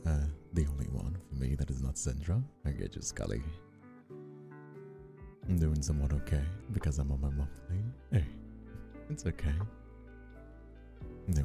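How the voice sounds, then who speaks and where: Male streamer, extremely low gravelly voice, affectionate casual chat, faint music underneath. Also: animated, moderate pace, pauses often. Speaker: streamer and host.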